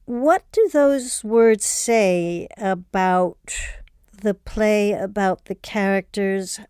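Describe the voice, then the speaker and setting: Woman moderating a panel, takes her time, really clear voice, no room echo. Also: medium pitch, extremely slow, darkish tone, studio quality. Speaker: host and discussion moderator. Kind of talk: measured panel interview.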